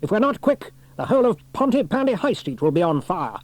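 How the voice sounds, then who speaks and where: A man's voice: high-pitched, sing-song, fairly quick, pitched above the performer's own voice. A man voicing a stern older fire station chief in a storybook reading, curt and commanding.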